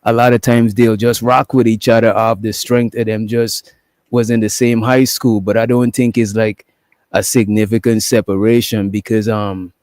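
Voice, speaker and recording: A man's voice: on the low side, level tone, normal speed, a little mellow, no room sound. A man from Guyana, a DJ guest on an online talk stream, speaking in a laid-back, slangy way with many filler sounds. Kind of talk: casual online talk-show chat.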